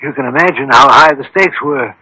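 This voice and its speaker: Genius detective in a radio mystery, precise n bossy, super bright voice, dry close sound. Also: male voice, mid-range voice, a little fast, breathy edge, dry recording, heavily acted, poor audio. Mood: explaining, assured. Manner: precise, explanatory, commanding. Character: brilliant, methodical male scientist detective called the thinking machine. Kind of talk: radio mystery drama acting.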